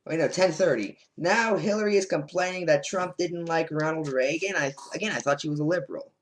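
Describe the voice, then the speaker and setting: A man casually rambling through his notes on a debate, sarcastic and animated. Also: on the high side, a little fast, a little hiss or hum. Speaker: political commentator vlogger. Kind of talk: casual reaction commentary over notes.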